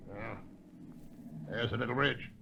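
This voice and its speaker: An actor playing a rich, cowardly town boss in a 1930s radio drama, blustering, nervous and pleading. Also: male voice, medium pitch, steady tone, measured pace, vocal fry, sharp tone. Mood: fearful, submissive. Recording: muffled, noisy old radio recording.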